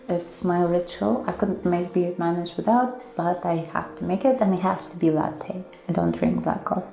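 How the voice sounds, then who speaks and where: A young woman from Lithuania, a native Lithuanian speaker, calmly describing her daily routine with some hesitation. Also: on the low side. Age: young adult. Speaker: interviewee. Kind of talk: casual personal account. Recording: echoey room.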